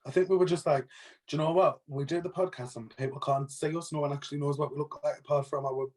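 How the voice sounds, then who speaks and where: A man's voice: fairly high pitch, steady tone, quick pace, a little mellow. A man in his late twenties co-hosting a casual chat with joking, teasing banter. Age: late 20s. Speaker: co-host.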